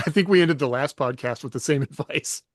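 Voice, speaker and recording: A man's voice: a little high, moderate pace, no room sound. Man co-host, easygoing and encouraging, dry recording. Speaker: podcast co-host, author. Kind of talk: casual panel chat.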